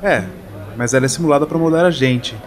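Man doing a plain brazilian patrol guy, slightly high voice, explains stuff. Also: a little fast, close to an everyday voice. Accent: Brazilian Portuguese.

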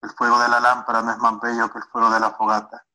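A man's voice: mid-range voice, monotone, fast, sharp tone, poor audio. A man reading his own poem aloud, played back as a recording. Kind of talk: poetry reading.

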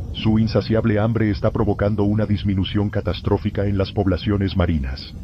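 A man playing a grave emergency broadcast announcer, narrating scripted horror warnings in a solemn, formal, measured way, through muffled lo-fi sound. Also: fairly low pitch, slight background noise, natural voice.

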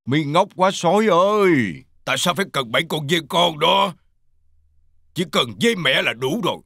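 A man voicing a wicked, gloating cartoon wolf villain with a gravelly voice and a menacing manner. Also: fairly high pitch, lively intonation, moderate pace, bright, forceful tone, exaggerated voice. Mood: scheming.

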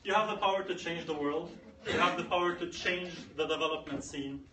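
Conference speaker, male, 30s, emphatic, slightly high voice, recorded from afar in a roomy hall. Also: fairly quick, sharp tone. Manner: emphatic, repeating phrases for effect. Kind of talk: motivational tech talk. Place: conference room. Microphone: far camera. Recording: echoey room, far from camera, faint noise.

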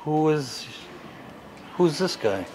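Baffled man, lively highish voice. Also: slow pace, slight background noise. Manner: baffled, questioning. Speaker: shopper being pranked.

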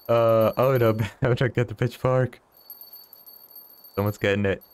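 Laid-back, unhurried man in his thirties. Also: mid-range voice. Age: 30s. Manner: chuckles often, teasing banter with chat. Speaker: streamer. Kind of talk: casual live-stream chat while gaming.

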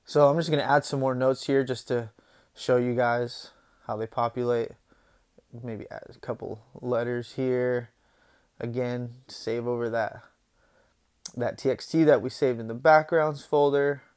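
A young man hosting a software tutorial, talking casually over his screen recording and often saying "boom" and "guys". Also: a little slow, smooth. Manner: relaxed, conversational, says "boom" and "guys" often.

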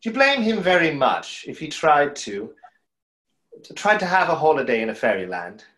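A man's voice: fairly high pitch, sing-song, normal speed, bright, forceful tone. A man in his thirties playing a wistful, self-doubting stage conjurer in a play read over a video call, hesitant and reflective. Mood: wistful, storytelling. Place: small home room, on a video call. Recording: roomy echo of a video call.

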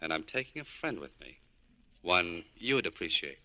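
Low, tough 1940s radio nightclub owner. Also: male voice, very animated, moderate pace, sharp tone, highs cut off, full character voice. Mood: cool, firm. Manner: clipped, hard-boiled. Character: tough, cool cynical male nightclub owner scheming an escape. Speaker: lead actor in a radio drama.

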